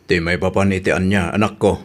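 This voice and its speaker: A man playing a gentle, placating middle-aged father in an Ilocano-accented radio drama. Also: sits low, steady tone, brisk, close to an everyday voice. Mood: gently soothing. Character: easygoing, placating older father who chuckles away insults.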